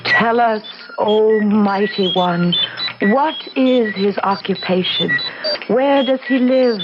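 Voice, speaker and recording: An actress playing an earnest, theatrical grown daughter and fortune teller in an old-time radio drama, on a muffled, noisy recording. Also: female voice, mid-range voice, slow, close to an everyday voice. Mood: grandly theatrical.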